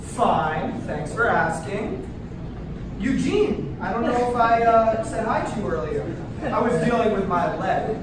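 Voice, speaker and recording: A man acting an exasperated young birthday man in a staged comedy, blunt yet awkwardly polite. The recording is very echoey and distant, with clear noise behind the voice. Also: high voice, animated, a little slow. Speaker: actor in a staged reading. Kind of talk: live staged comedy play reading.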